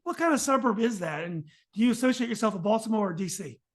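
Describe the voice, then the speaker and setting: A man's voice: high voice, expressive, fast. A man hosting a podcast interview, asking long, rambling questions full of fillers and restarts. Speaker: podcast host.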